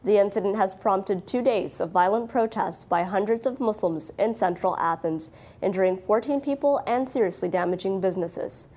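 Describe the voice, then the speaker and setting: A woman news presenter with a medium-pitched voice reads from a script. Her delivery is formal and clearly worded, in the style of a news bulletin.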